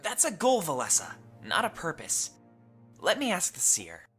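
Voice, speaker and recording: A woman voicing an earnest, protective and level-headed companion in a fantasy visual novel, speaking with sincere, measured reasoning. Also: lowish voice, huge pitch swings, fairly bright tone, faint music behind. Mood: serious, searching.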